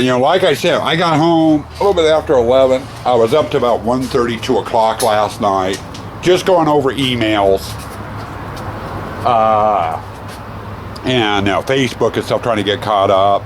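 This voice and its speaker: A middle-aged man vlogging casually about a weekend convention. He has a hoarse voice from losing it, and he rambles warmly, full of thanks. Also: mid-range voice, slight background noise. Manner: rambling, effusive, grateful. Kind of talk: casual vlog talk.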